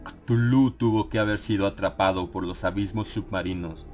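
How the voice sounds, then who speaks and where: A man narrating a dramatized horror audiobook in a grave, theatrical manner with dramatic pauses. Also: low voice, darkish tone. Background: background music.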